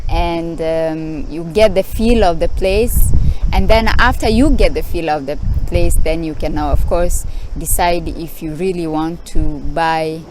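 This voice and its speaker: A woman from Kenya, sitting on rocks outdoors and giving casual vlog advice in a hesitant way, pausing often with fillers. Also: low-pitched, darkish tone, rough recording. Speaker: vlogger. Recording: outdoor recording with traffic noise.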